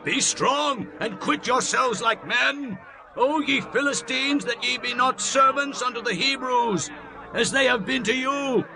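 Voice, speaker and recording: A man's voice: extremely high pitch, a little slow, music in the background, low-quality recording. A man playing an agitated, urgent ancient soldier in a declamatory dramatized scripture style. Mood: rousing.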